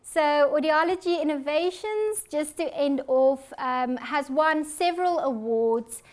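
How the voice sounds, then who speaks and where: A woman's voice: sits high, a little slow. A woman with a South African accent, an audiologist giving a health talk and answering audience questions in an earnest, reassuring way. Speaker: audiologist presenter.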